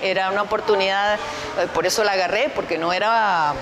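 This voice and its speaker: A woman's voice: mid-range voice, a little fast, a loud crowd. A woman in her fifties from Uruguay, with a Uruguayan Spanish accent, speaking as a guest in a TV studio interview on a lapel mic. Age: 50s. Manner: lively, animated, laughs easily, gestures with hands. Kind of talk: TV interview, casual chat. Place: TV studio with stone backdrop.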